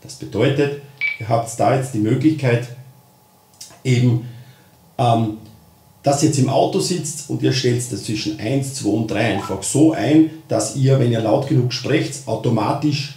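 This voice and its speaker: Male Austrian tutorial presenter, mid-pitched voice, informal step-by-step delivery, roomy echoing sound. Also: a little mellow. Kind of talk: spoken how-to explanation of a gadget.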